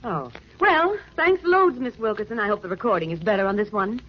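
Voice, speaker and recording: A woman's voice: mid-range voice, expressive, a little fast, natural voice. Brassy, sassy 1940s radio young woman, female actor; arch slangy delivery on a dull vintage recording. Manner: wordy, sardonic, mock-formal slang. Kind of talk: 1940s radio drama acting.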